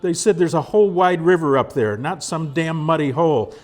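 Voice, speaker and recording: A man voicing a frantic, hot-tempered pioneer father who shouts accusations in a storybook reading. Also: fairly high pitch, fairly quick, faint music behind, voice pushed up, close to an everyday voice. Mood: furious.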